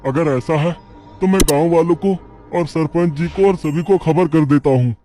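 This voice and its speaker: A man's voice: fairly high pitch, normal speed, warm tone, soft background music, close to an everyday voice. A man playing a humble, hospitable poor farmer, polite and deferential, in a moral-story cartoon. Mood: hopeful.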